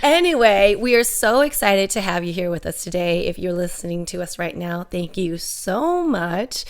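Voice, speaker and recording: American lady cohosting a chat show, bubbly and laughy, kinda gravelly. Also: lowish voice, animated, normal speed. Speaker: podcast co-host. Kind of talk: casual chat.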